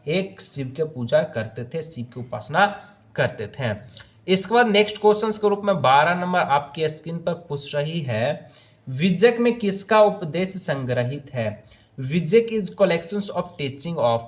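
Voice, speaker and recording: A man teaching exam questions to students, repeating himself as he asks and answers, with English phrases mixed in. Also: a little high. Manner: repetitive, asks each question then answers it; mixes English phrases into speech. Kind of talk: quiz-style lesson narration addressed to students.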